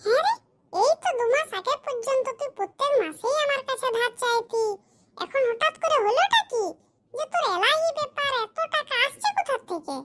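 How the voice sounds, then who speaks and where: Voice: very high voice, lively intonation, brisk, sharp tone, heavily acted. A performer voicing a curious, skeptical village man in a cartoon, who keeps firing questions. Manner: questioning, incredulous. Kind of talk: cartoon comedy voice acting.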